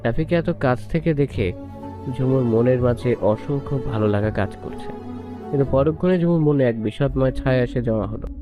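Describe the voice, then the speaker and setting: A man's voice: mid-range voice, moderate pace. A man reading a scripted romantic story as a narrator. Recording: music bed under the voice. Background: prominent instrumental music.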